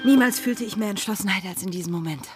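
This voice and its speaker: A woman's voice: mid-range voice, fast talker, lightly acted. Actress doing a proud young pirate-era lady, dead set, formal old-timey talk, radio drama w music. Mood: resolute. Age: young adult. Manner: proud, resolute, formal old-fashioned speech. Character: fierce, determined young gentlewoman turned fighter in pirate era. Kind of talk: German radio drama acting.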